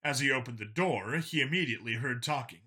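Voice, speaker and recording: A man's voice: medium pitch, brisk, bright, forceful tone. A man reading scripted fiction as an audiobook narrator.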